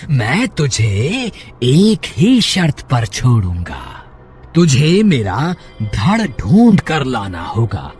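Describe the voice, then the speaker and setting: A man's voice: mid-range voice, wildly swooping pitch, slow, darkish tone, slightly rough, faint music behind, full character voice. A man voicing a hungry, menacing severed-head ghost in a horror cartoon, threatening and commanding. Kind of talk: Hindi horror cartoon character voice.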